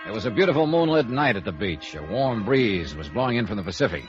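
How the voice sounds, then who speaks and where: A man's voice: lowish voice, very animated, quick pace. An actor playing a wry, easygoing male insurance investigator in a 1950s radio noir drama, bantering and narrating in a hard-boiled way. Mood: brisk narrating. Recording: dull vintage radio recording with music.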